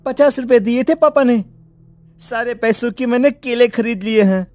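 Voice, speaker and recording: A man's voice: very high-pitched, normal speed, a little mellow, no echo. A man playing an eager, boastful young village boy in a story. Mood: gleeful.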